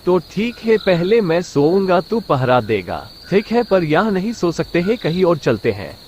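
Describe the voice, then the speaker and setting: A man's voice: high voice, sing-song, moderate pace, darkish tone, dull audio, a little hiss or hum. A man voicing a clever, confident young villager who reassures his friend breezily in a cartoon story. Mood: reassuring. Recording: music behind the voice.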